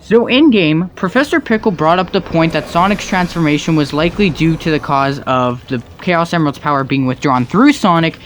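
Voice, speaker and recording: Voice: medium pitch, lively intonation, fairly quick, few pauses, a little hiss or hum. A commentator picking apart game cutscenes in an argumentative, exclamatory comedic style, with music behind. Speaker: video commentator.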